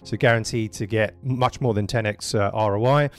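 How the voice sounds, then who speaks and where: A man's voice: lowish voice, fairly even intonation, moderate pace. A man hosting a business podcast, rambling and stumbling over his words with many fillers. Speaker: podcast host. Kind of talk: podcast interview.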